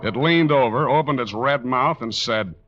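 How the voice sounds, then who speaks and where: An actor playing a sardonic, hardboiled private detective, wisecracking and narrating in 1940s radio style. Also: male voice, mid-range voice, moderate pace, lightly acted. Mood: wry, atmospheric narration. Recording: dull 1940s radio recording, music behind.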